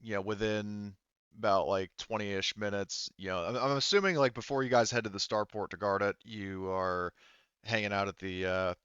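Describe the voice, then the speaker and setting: A man's voice: on the low side, fairly even intonation, normal speed, a bit bright, clear, resonant tone, no room sound, muffled recording. A man running a tabletop roleplaying game as game master, explaining and narrating in a hesitant, rambling way.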